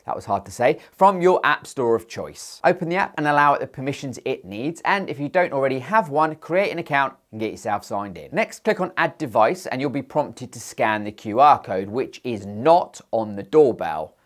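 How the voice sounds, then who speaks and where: A British man presents a product review in a lively, highly varied voice. He narrates from a script in an informal, playful way, dropping in jokey asides. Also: moderate pace, few pauses. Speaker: tech reviewer and channel host.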